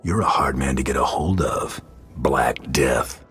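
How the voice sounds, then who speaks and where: Stern merc hero for a video game, super low voice, short measured lines. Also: male voice, a bit bright, husky, faint music behind, heavily acted. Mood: stern, calm. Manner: terse, measured. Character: stern, cool-headed male hero for hire.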